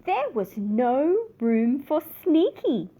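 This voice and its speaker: A woman reading a children's picture book aloud with expressive, dramatic emphasis. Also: sits high, slow pace, faint music behind. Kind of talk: scripted children's story narration.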